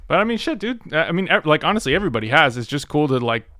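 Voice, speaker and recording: A man's voice: fairly high pitch, big pitch swings, very fast, sharp tone, no room sound, clean studio sound. A man hosting a casual interview, asking questions in a friendly way and swearing casually. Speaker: interview host.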